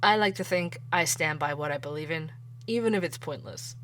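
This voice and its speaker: A low-voiced woman playing a blunt male investigator. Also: a little fast, fairly bright tone, a little hiss or hum. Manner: blunt, earnest questioning. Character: male podcast investigator, dogged and opinionated. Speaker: podcast host. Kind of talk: audio drama acting.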